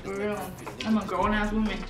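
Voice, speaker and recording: A woman's voice: medium pitch, level tone, fairly quick. Giggly young woman in a roomy room. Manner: chatty, giggly, slangy. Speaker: guest. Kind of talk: casual mukbang Q&A chat. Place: living room couch. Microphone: far camera. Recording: roomy room from far off with background music. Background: background music.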